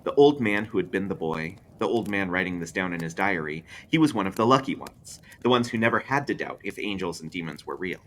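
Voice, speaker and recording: Male storyteller, low register, highly animated dramatic read, clear background noise. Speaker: librarian reading a story aloud. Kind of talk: expressive storybook narration.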